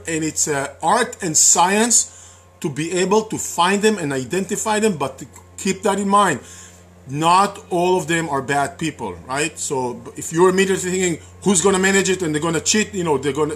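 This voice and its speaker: A man with a fairly high voice, a native Hebrew speaker, talking casually to an online audience about investing. He circles back on his words and fills pauses with ums and uhs as he teaches. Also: a bit bright. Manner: conversational, repeats words, many fillers. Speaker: real estate investing educator. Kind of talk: live-streamed teaching talk.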